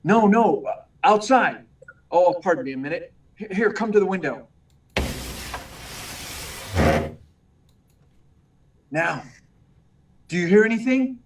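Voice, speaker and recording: An actor playing a meek, earnest young newspaper reporter in a 1940s-style radio serial, hesitant and polite, recorded at a distance. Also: male voice, high-pitched, animated, moderate pace. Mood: urgent, earnest. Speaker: actor in a live radio play. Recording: distant, far from the mic.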